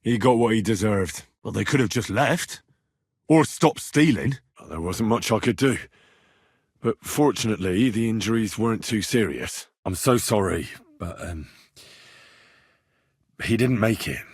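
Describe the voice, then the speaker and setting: A young British man as a medieval servant turned adventurer in a video game. His voice is husky and middle-pitched, with lively, swinging intonation. He speaks earnestly and plainly, in a dry, close recording. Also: measured pace, pauses often, dry recording, heavily acted. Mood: apologetic, subdued. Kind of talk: scripted game character dialogue.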